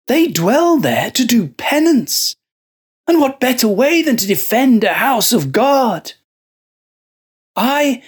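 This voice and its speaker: Reader doing a scrawny fanatical monk, high strained voice, slow dragged phrasing, shrieking, storybook horror. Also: breathy edge, exaggerated voice. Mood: feverish. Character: scrawny fanatical male monk, feverish and unhinged.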